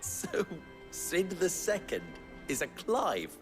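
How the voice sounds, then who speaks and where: A man's voice: sits high, huge pitch swings, takes it slowly, over music, heavily acted. A man playing a suave, wry underworld fixer in a video game cutscene, with arch, formal phrasing.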